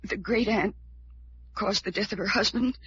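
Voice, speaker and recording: An actress playing a despairing young heiress who believes she is cursed, in halting, melodramatic 1940s radio drama style. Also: mid-range voice, a bit bright, slight background noise, lightly acted. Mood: anguished. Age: young adult. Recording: muffled, dull old radio recording.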